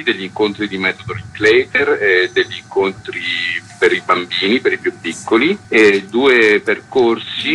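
Deep, bright-voiced man, interviewed. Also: a little hiss or hum, low-quality recording. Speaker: theatre festival artistic director, interview guest. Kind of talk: conversational radio interview.

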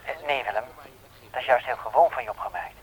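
An actor playing a shy, bashful friend in a Dutch radio play, speaking haltingly through a telephone. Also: male voice, mid-range voice. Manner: halting, hesitant, stammering restarts. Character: shy, hesitant male friend, bashful about his girlfriend. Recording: dull, muffled old radio telephone sound.

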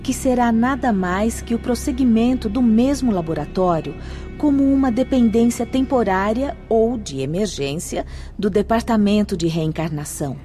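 Mellow, courteous Brazilian spirit sister, played by a woman. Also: on the high side, faint music behind, natural voice. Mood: serene, courteous. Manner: measured, formal. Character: serene, courteous spirit sister explaining afterlife procedures. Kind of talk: radio drama acting.

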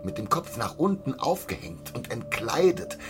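An actor in a radio play voicing an obsessive small-town butcher, insistent and conspiratorial, dwelling on grim details. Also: male voice, mid-range voice, very animated, normal speed, over music, natural voice. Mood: obsessive, explaining. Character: obsessive, gruesome small-town butcher spreading hateful rumours. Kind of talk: radio drama acting.

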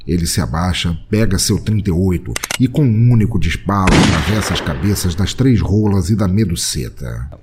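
A man's voice: low voice, animated, moderate pace, hardly any pauses, heavy background noise. A man delivering scripted narration as the storyteller of an audio drama.

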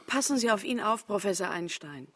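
An actress playing a worried, protective, bossy wife with a husky voice in a radio drama, insistent and fussing. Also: female voice, medium pitch, animated, fairly quick, fairly bright tone, no echo.

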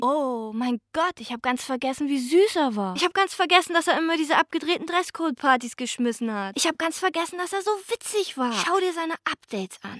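Radio drama role: wry young woman, higher voice, confessional and chatty, very dry close sound. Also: a bit bright, dry recording. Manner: chatty, confiding, mimics her own thoughts. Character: wry young woman longing for the city she left.

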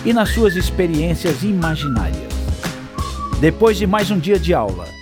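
A man with a Brazilian accent narrating a scripted radio drama as an expressive storyteller. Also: a little high, moderate pace. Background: music.

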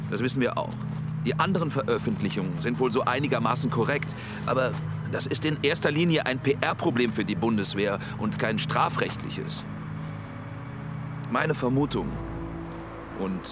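An actor playing a German counter-espionage official in a radio drama, talking in a confiding, guarded, low-key way. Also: male voice, natural voice.

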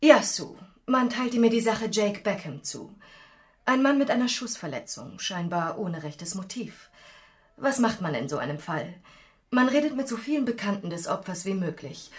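A woman's voice: mid-range voice, sing-song, moderate pace, natural voice. A woman playing an assured, matter-of-fact police detective answering interview questions in a radio play. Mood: matter-of-fact, confident.

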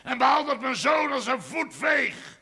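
An actor playing a furious, aggrieved village landowner with a Dutch accent, ranting in a gravelly, strained voice in a television drama. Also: male voice, very high voice, very animated, measured pace, exaggerated voice. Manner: ranting, shouting, emphatic, coarse-mouthed. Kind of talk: television period drama acting.

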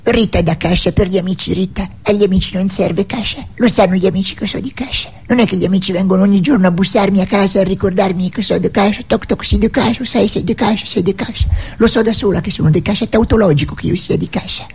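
A man voicing an indignant, pedantic small-town woman saint in an absurdist stage monologue, obsessively repetitive, with a husky, rough voice. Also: high-pitched, fairly quick, few pauses, a little mellow, pitched above the performer's own voice, exaggerated voice. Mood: exasperated, insistent.

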